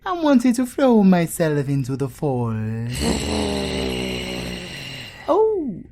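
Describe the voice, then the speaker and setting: A man's voice: sits high, huge pitch swings, measured pace, noisy background, heavily acted. A man playing a preening, flirtatious vampire lord in a fantasy audio roleplay, with theatrical, camp delivery. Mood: teasing. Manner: theatrical, camp, drawling endearments.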